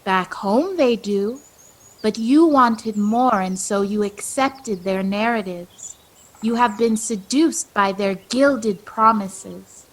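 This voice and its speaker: A woman playing a wise, calm, knowing guide in a radio play, measured, coaxing and solemn. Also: mid-range voice, low-quality recording. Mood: knowing reproach.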